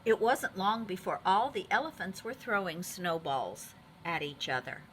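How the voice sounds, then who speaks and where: A woman teacher reading a storybook aloud to young children in an expressive, deliberate way. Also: medium pitch, a little hiss or hum, poor audio.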